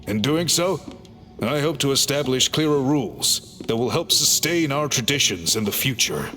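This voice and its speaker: Calm formal chief guy, explains things politely, bright lively voice, faint music. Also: mid-range voice, moderate pace. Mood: thoughtful. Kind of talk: game cutscene voice acting.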